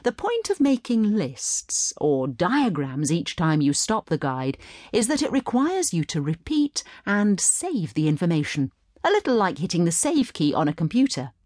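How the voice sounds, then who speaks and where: A woman giving scripted educational narration as a guide, in an instructive, expressive storytelling manner. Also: lowish voice. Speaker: study guide narrator and tour guide.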